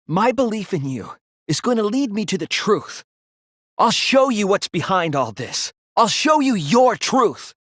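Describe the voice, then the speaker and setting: An earnest teenage boy detective from a dramatic video game. His voice sits at a medium male pitch and he lays out his reasoning deliberately, with a self-doubting streak. The recording is close and dry. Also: husky, no echo. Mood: impassioned, defiant. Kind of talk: dramatic English video game voice acting, deductive monologue.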